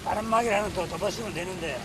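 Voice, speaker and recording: A man's voice: sits high, very fast. A man with a Gyeongsang accent, a fishing crewman talking casually while working out on a boat. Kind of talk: casual on-the-job interview talk. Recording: very loud boat and engine noise.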